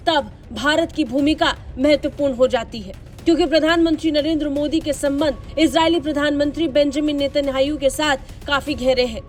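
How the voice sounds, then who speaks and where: A woman reading a scripted news report as a voice-over in a formal, dramatic delivery. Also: high-pitched. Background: music under the voice.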